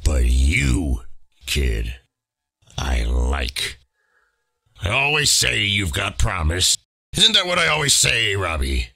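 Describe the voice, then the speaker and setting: A bossy middle-aged newspaper editor from a comic, very low and raspy. He barks and blusters at everyone, swinging widely in pitch, with a slow, dismissive drawl between outbursts. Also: wildly swooping pitch, bright, forceful tone, no room sound, clean studio sound, exaggerated voice. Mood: grudgingly approving. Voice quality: gravelly. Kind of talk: motion comic voice acting.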